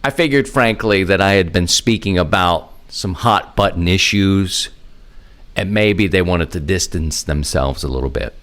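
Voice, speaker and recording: A man's voice: low voice, unhurried, fairly bright tone. A man hosting a podcast in a casual radio style, with a playful, folksy, rambling manner and self-deprecating asides. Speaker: podcast host.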